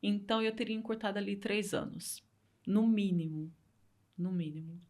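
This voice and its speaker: A woman's voice: mid-range voice, normal speed, darkish tone. A Brazilian woman speaking Portuguese with a Brazilian accent, a guest telling her story in a casual interview, earnest and repeating phrases for emphasis.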